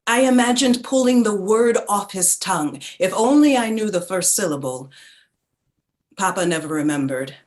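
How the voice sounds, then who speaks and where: An actress playing a reflective narrator who remembers her childhood, in a measured, deliberate staged reading of a literary play. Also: medium pitch, lightly acted. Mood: reflective remembrance.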